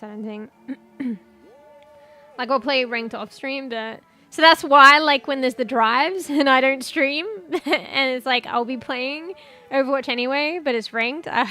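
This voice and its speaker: Woman streamer, fairly high voice, chatty and rambling, a bit hoarse, music bed behind. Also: pushed and bright. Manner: rambling, filler-heavy, swears casually. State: losing her voice, coughing. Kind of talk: casual chat with stream viewers.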